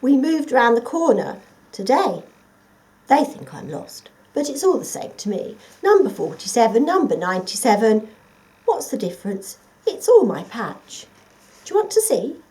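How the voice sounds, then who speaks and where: A woman voicing a big, cheeky, carefree tabby cat in a children's story, loud and brisk. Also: a little high, very animated, low-quality recording. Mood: breezy, cheeky.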